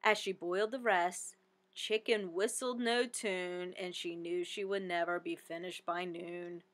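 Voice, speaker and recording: A woman reading a rhyming children's story aloud with expressive, sing-song delivery. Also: lowish voice, a little slow. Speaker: storybook reader.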